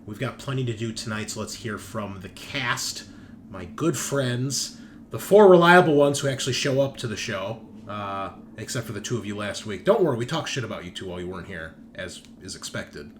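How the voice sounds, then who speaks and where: A man with a fairly low voice hosts a tabletop gaming show. He runs through announcements in a casual, joking and profane way. There is noticeable noise behind him. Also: lively intonation, brisk. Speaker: host and game master of a tabletop gaming show.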